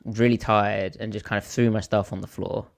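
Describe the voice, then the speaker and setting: Hoarse guy, lowish voice, rambly podcast host chatting. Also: moderate pace. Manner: rambling storyteller, animated when telling a story. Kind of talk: casual chat.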